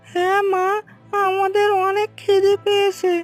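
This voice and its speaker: Very high, childlike baby snake voiced by a woman. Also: monotone, unhurried, soft background music. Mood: obedient. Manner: earnest, pleading. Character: pleading, devoted young baby snake, childlike and clingy. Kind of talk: children's cartoon voice acting.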